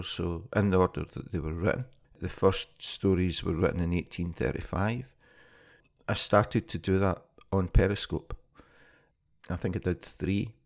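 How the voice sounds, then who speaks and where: A man from Scotland with a Scottish accent, introducing his first podcast in a plain, conversational way with small pauses and restarts. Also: sits low, creaky, darkish tone. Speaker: podcast host. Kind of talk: casual spoken podcast introduction.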